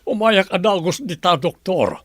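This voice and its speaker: A man's voice: sits high, animated, normal speed, fairly bright tone, no echo, clean studio sound. A man playing an anxious grandfather, head of the household, in a radio drama.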